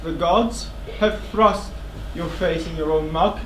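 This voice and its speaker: A man's voice: fairly high pitch, slow. A man on stage playing a stern, dutiful but pitying royal kinsman in a classical tragedy, speaking formally and with command. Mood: solemn, commanding. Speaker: stage actor. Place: indoor theatre stage. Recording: echoey theatre, distant microphone, faint noise.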